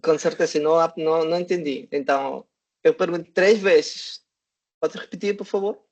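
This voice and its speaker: A man from Peru with a Spanish-speaking accent and Spanish as his first language, talking haltingly with many filler sounds as a guest on an online panel. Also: a little high, a little mellow. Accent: Spanish-speaking Peruvian, in Portuguese. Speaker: guest student. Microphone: video call. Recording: dull lo-fi online call audio.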